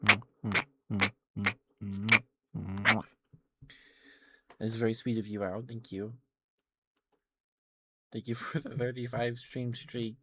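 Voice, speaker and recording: A soft-spoken man hosting a casual ASMR livestream, talking to viewers in an unhurried, affectionate way. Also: on the low side, level tone, slight background noise, low-quality recording. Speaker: streamer, host of the show.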